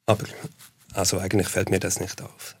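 Gravelly, soft-spoken Swiss man. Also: deep voice, monotone, a little fast, no echo. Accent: Swiss German. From Switzerland. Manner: hesitant, soft-spoken, many restarts. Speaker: guest. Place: radio studio.

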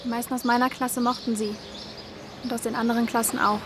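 A woman's voice: on the high side, level tone, fast, background noise, close to an everyday voice. A young woman playing a bitter, guarded teenage schoolgirl in a naturalistic radio crime drama.

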